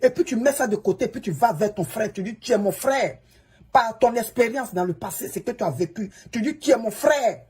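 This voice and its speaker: A woman's voice: on the high side, lively intonation, brisk, a little mellow, breathy edge. A woman, a social media coach who is an English speaker, giving a pleading, preachy advice monologue and repeating the listener's name. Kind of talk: advice monologue to a fellow creator.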